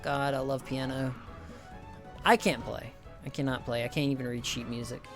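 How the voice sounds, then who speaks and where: A young man from the with a higher-pitched voice, chatting casually with his stream viewers, rambling, swearing a lot and restarting often. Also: little pitch movement, moderate pace, over music. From American South. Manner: rambling, heavy swearing, many restarts and fillers.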